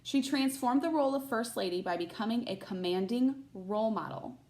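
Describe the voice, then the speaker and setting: A woman's voice: a little high, expressive, brisk, a little mellow. A woman teacher reading a children's picture book aloud expressively, with short asides to her listeners.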